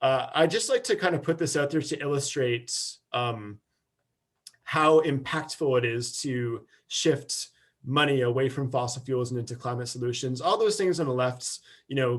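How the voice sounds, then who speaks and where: Male campaigner, video-call webinar talk, casual and filler-heavy, average pitch. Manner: loose, filler-heavy, conversational explaining. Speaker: climate campaigner presenting. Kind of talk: webinar slide presentation.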